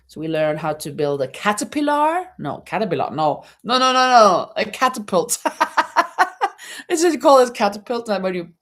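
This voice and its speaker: Slow, chatty woman hosting a live chat. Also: medium pitch. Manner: chatty, laughs often, reads viewer comments aloud. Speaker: livestream host. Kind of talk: casual live chat while crocheting. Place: home in Spain.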